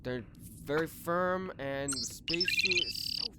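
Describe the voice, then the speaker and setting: A man's voice: fairly high pitch, unhurried, very noisy, close to an everyday voice. A man playing a drunk, lovesick young cadet who rambles in a sloppy, dreamy way. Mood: smitten, dreamy. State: slurring drunk, acted.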